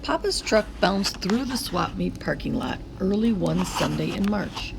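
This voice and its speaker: A woman reading a children's picture book aloud in a deliberate, expressive storytelling manner. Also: mid-range voice, creaky, background noise. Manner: deliberate storytelling with acted character voices.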